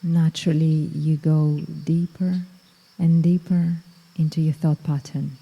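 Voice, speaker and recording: A woman guiding a meditation in a slow, soft, soothing way, with long pauses between phrases. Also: low-pitched, flat delivery, breathy edge, big-room sound, poor audio. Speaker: meditation guide. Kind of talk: guided meditation.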